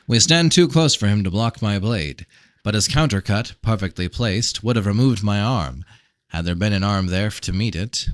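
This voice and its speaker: A man reading a fantasy novel as scripted narration, with dramatic, measured delivery. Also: on the low side, expressive, dry recording. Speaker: audiobook reader.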